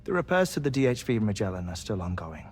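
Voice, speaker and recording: An actor playing a genial, scholarly investigator in a video game, speaking in a formal, courteous, wordy way. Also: male voice, on the low side, big pitch swings, quick pace, darkish tone. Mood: genial, relaxed. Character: genial, scholarly male investigator with old bones. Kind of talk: video game cutscene acting. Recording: game dialogue over clear music.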